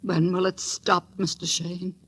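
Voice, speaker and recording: A haunted, fearful widow. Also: female voice, fairly low pitch, flat delivery, measured pace, a bit bright, slightly rough. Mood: haunted, anxious. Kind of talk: 1940s radio acting.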